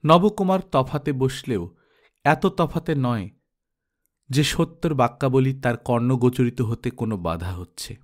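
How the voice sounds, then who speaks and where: A man's voice: medium pitch, lively intonation, a little slow. A man narrating a literary story as an audiobook reader, with dramatic scripted delivery.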